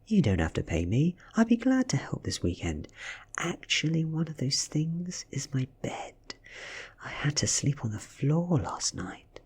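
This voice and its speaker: Man voicing a breathy, friendly teenage boy. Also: a little high, huge pitch swings, unhurried, a little mellow, voice pushed up, exaggerated voice. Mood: obliging. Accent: British. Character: friendly, obliging high-school boy.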